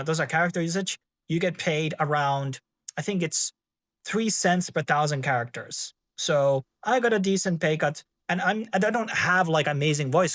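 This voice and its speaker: A man's voice: on the high side, a bit bright. A man with a Finnish accent, speaking English as a second language in a rambling, casual tutorial with many fillers and restarts. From Finland. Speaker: tech video host.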